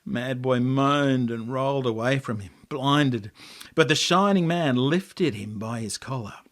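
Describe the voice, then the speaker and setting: An Australian man reading a story as its author, with expressive, dramatic delivery and deliberate pauses. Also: on the high side. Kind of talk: dramatic storytelling, scripted narration.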